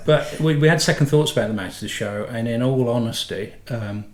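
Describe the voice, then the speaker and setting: A man's voice: mid-range voice, fairly quick. A middle-aged man with a head cold and a slightly nasal voice, chatting casually and correcting himself as he hosts a motorhome channel. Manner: chatty, rambling, self-correcting. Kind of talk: casual chat. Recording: echoey room.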